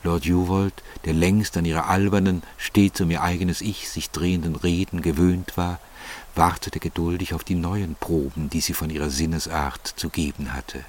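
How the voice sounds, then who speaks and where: A man's voice: low voice, level tone, moderate pace. A man reading scripted literary narration in German as the narrator of a story.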